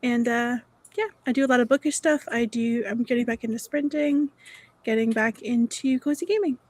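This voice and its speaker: A bubbly woman introducing herself on a video call. Her voice is high, and the sound is dry and close, with no echo around it. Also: moderate pace. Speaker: guest. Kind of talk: casual self-introduction.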